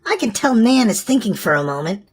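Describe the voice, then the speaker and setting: A woman reading a children's storybook aloud as an expressive narrator. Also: a little high, a little fast, husky. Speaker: storyteller for a children's book channel.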